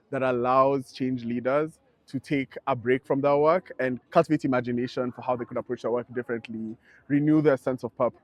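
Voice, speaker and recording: A young man speaks earnestly and with care, weighing his words as he reflects. His voice sits at an ordinary middle pitch. The recording is dry and close, with no room echo. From Kenya. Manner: thoughtful, measured, earnest. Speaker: founder of an advocacy network. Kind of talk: reflective interview monologue.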